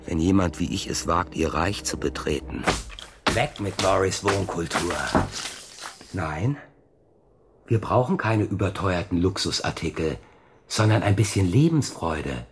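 An actor in a German radio play voicing an embittered, obsessed hobby builder who mutters resentfully and with determination. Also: male voice, low-pitched, lively intonation, a little slow, faint music behind. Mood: brooding, resentful. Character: embittered, obsessed model-town builder, a grown married man.

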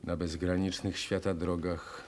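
An actor playing a grave, puzzled fallen lord in a theatrical radio play. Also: male voice, sits low, flat delivery, a little slow, darkish tone.

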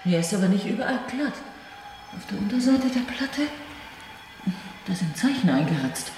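A woman's voice: medium pitch, lively intonation, normal speed, a little mellow, lightly acted. An actress playing a practical, observant, slightly unsettled woman in a radio drama, matter-of-fact but hesitant. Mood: concerned. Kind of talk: German radio drama acting. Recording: echoey vault with music.

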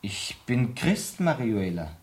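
An actor playing an educated gentleman traveller, insistent and troubled, in a 1970s radio drama. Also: mid-range voice, very animated, takes it slowly, slightly rough, exaggerated voice. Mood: brisk, persuasive.

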